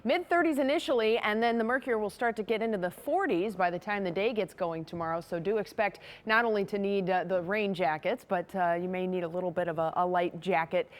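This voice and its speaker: A woman's voice: mid-range voice, a little fast, few pauses. An American woman giving a conversational TV weather forecast in a chatty, folksy manner.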